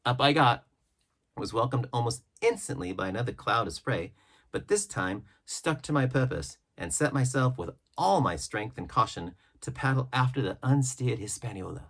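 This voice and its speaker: Expressive male storyteller with a husky voice. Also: mid-range voice, moderate pace. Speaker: audiobook reader. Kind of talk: expressive read-aloud of a classic adventure novel.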